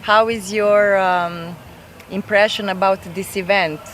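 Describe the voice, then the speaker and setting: A woman's voice: mid-range voice, steady tone, unhurried, a bit bright, a little hiss or hum. A woman asking casual interview questions in a conversational way.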